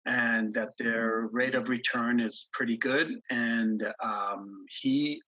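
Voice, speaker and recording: A man in his fifties from Iran talks through his past as a podcast guest, pausing often with ums and restarts. His voice is husky and mid-pitched. The recording sounds dull and lo-fi. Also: steady tone, bright, forceful tone. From grew up in Iran, born in the US. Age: 50s. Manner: halting, many ums and restarts. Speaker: guest investor. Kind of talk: casual interview storytelling.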